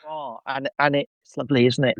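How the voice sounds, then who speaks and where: A man's voice: mid-range voice, steady tone, normal speed, no room sound. Enthusiastic man in casual chat, dry sound. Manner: enthusiastic, effusive.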